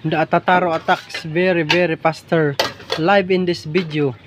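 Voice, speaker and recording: A man from the Philippines with a Hiligaynon-flavored Filipino accent and Hiligaynon as his first language, narrating over nature footage in English, halting and repeating words as he hunts for the right phrase. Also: fairly high pitch, little pitch movement, background noise. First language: Ilonggo (Hiligaynon). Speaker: vlogger filming larvae in a field.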